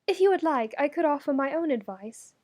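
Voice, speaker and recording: A woman acts out an earnest young woman in an audiobook story, high in pitch and formal in phrasing. She sounds measured, kindly and utterly sincere as she counsels. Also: expressive, a little mellow. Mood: helpful, earnest.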